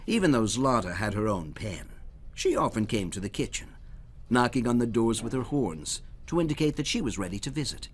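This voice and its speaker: A man reading storybooks aloud for children in a dramatic, expressive way, at times dropping to a hush. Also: mid-range voice, a little fast, a little hiss or hum. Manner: dramatic, dropping to hushed near-whispers. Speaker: storybook reader.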